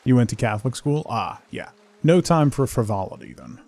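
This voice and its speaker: A man hosting a game livestream, rambling casually and haltingly as he thinks aloud and replies to viewers. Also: mid-range voice, huge pitch swings, vocal fry, darkish tone. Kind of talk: casual chat while playing, answering viewers.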